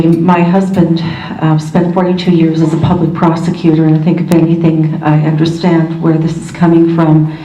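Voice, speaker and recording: A woman answers as a candidate at a public forum in a low, flat, mellow voice, earnest and at times halting. She is heard from a distance in an echoey hall. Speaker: political candidate. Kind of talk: debate answer.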